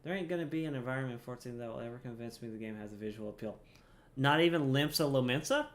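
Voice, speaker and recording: Male game streamer, mid-pitched voice, casual, rambling, playful, chatty delivery. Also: fast. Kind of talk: casual livestream commentary over gameplay.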